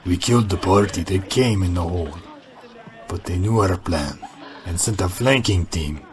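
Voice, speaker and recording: A man's voice: low voice, moderate pace, a little mellow, natural voice. A man playing a leering, brutish fighter in an audio drama, with a crude, drawling delivery. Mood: grim reporting.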